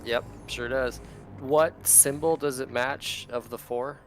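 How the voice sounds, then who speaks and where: A man's voice: medium pitch, fairly even intonation, slow pace, a little hiss or hum. A man playing a tabletop game, casually calling out what his character does.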